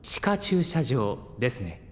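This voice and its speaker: A man playing a polite, caring artificial intelligence with formal, measured speech in a Japanese audio drama. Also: medium pitch, big pitch swings, a little hiss or hum. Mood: reassuring, explanatory.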